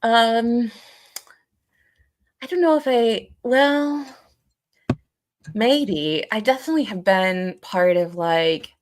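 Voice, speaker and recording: A woman co-hosting a live podcast, talking casually and haltingly as she searches for words and hedges. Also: fairly high pitch, dry recording. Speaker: podcast co-host.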